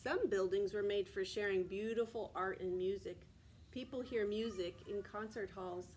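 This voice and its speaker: A woman's voice: mid-range voice, moderate pace, darkish tone, a little hiss or hum. An American woman, a preschool teacher from Texas, reads aloud to young children in simple phrases, stopping to explain and ask questions. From Texas, United States.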